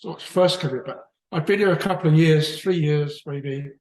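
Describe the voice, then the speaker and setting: A man's voice: on the high side, normal speed, darkish tone, reverberant, rough recording. A man from the UK with a British accent, chatting casually in a book club discussion, rambling and often restarting his sentences. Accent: British English.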